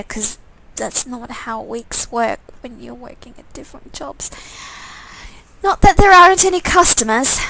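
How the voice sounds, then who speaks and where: A woman's voice: sits high, fairly bright tone, low-quality recording. A woman reading a visual novel game aloud as its narrator, with a wry way of talking.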